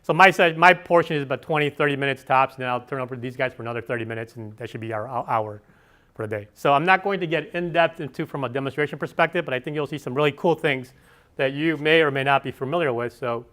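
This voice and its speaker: A man with a Chicago accent giving a fast, filler-heavy conference presentation and asking the audience questions. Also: mid-range voice, fairly bright tone. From Chicago area. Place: conference session room.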